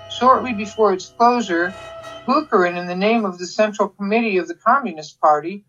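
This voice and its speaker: A woman reading a polemical letter aloud as a podcast reader, in a dramatic style with emphatic, deliberate phrasing. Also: medium pitch, a bit bright. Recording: dull lo-fi sound with music behind. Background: music.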